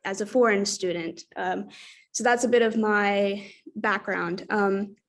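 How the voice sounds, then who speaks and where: A woman's voice: medium pitch, fairly even intonation, measured pace. A young woman in her twenties with an American accent, talking casually on a video call as a project coordinator at an online info session. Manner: casual, chatty, many fillers.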